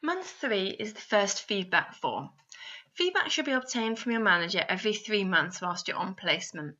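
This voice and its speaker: Woman, instructional voice-over, very bright tone, direct address to the listener, guiding questions, slightly muffled lo-fi recording. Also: mid-range voice, animated, normal speed. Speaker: instructor narrating a guidance video.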